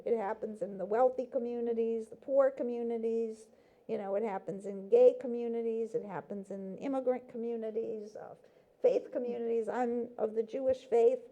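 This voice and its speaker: Mellow-voiced earnest woman guest. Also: a little high. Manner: earnest, explaining, with many fillers. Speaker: guest from a nonprofit. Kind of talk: casual interview chat.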